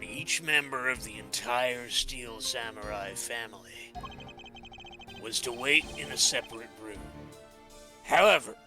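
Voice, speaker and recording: A man's voice: mid-range voice, close to an everyday voice. A man playing an earnest detective in a video game fan dub, explaining things plainly. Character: earnest male detective reporting on a crime scene.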